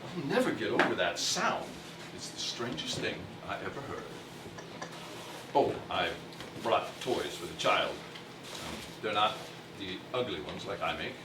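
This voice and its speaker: Man playing proud gruff merchant, formal halting speech, slow and theatrical, swooping pitch, distant echoey stage. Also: big pitch swings, heavy background noise. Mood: sheepish, halting. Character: gruff, proud toy merchant turning sheepishly soft-hearted. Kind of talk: theatrical stage musical acting. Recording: echoey stage recording from far off, music behind.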